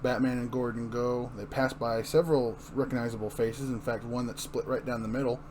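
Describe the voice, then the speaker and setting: A man's voice: medium pitch, steady tone, a little fast, a little mellow, a little hiss or hum. A man hosting a dramatic comic book reading, chatting casually and describing scenes informally. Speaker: host and reader.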